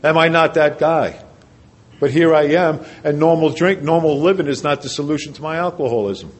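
Older New man giving his testimony. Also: normal speed. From New Jersey. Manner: conversational and self-deprecating, drops his voice low and quiet for emphasis. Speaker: recovery speaker at a large convention. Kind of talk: personal testimony talk. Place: large convention hall.

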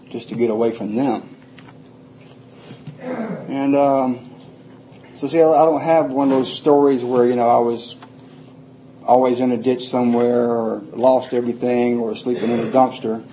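Dark-voiced older man. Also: medium pitch, steady tone, measured pace, pauses often, slight background noise, low-quality recording. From Atlanta, Georgia, USA. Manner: plain-spoken, rambling, frequent restarts. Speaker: recovery speaker. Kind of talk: personal life story told to a group.

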